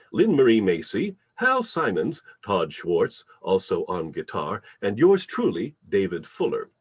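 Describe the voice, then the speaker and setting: Theatrical, husky man narrating, very animated. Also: on the low side. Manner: theatrical, deliberate, emphatic phrasing. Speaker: announcer and narrator. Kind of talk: old-time radio announcing and storytelling. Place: remote video call reading.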